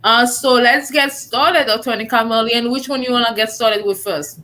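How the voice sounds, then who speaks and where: Woman show host, thirties, bright tone, easy chatty delivery. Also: fairly high pitch, fairly even intonation, moderate pace. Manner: warm, chatty, many fillers. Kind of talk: live online talk show.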